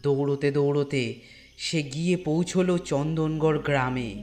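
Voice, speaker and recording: A man narrating a scripted horror story in a deliberate way, with dramatic pauses between phrases. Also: a little high, steady tone, a little mellow, soft background music.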